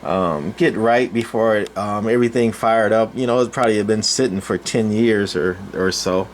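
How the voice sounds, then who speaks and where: A man giving a casual, rambling, off-the-cuff demonstration as a hobbyist collector, in a halting informal way. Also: fairly low pitch. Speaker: channel host, vintage CB radio collector. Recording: clear background noise, room ambience.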